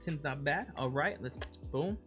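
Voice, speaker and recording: A man's voice: on the high side, unhurried, a bit bright. A young adult man hosting an unboxing video, chatting casually in a small room indoors. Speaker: host of card unboxing videos. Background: background music.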